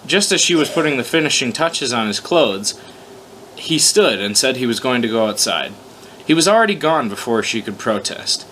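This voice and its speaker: A man's voice: medium pitch, animated, normal speed, bright, forceful tone, slight background noise, low-quality recording. A man hosting an informal reading of his own fan fiction, swearing casually, mocking himself and stumbling over his words. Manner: self-deprecating, profane, stumbling over lines. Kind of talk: casual profane commentary and informal story reading.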